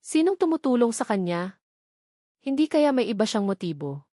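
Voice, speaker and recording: A woman's voice: on the high side, very animated, normal speed, mellow, no room sound, clean studio sound. A woman narrator voicing a manipulative older mother who pleads theatrically and plays the wounded victim in a story. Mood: tearful pleading. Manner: pleading, theatrical, guilt-tripping.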